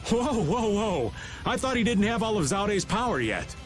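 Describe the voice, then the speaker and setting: A man's voice: sits high, big pitch swings, normal speed, shouting, soft background music. A man voicing a casual, slangy middle-aged rogue in a video game cutscene. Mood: alarmed.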